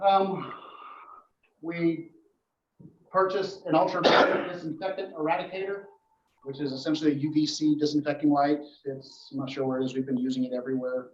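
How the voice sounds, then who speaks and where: A man gives an informal report to a board at a meeting, explaining things in a halting, matter-of-fact way. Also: medium pitch, a little hiss or hum. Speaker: emergency dispatch official reporting to a board. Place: indoor meeting room. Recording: echoey meeting room, dull muffled audio.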